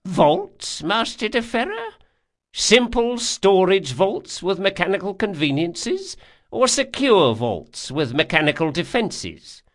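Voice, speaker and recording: Man voicing a sharp-tongued old woman, shrill and swooping. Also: wildly swooping pitch, slow, heavily acted. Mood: shrewd, probing. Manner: brusque, exclamatory, scolding. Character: sharp-tongued old female master artificer, brusque and impatient.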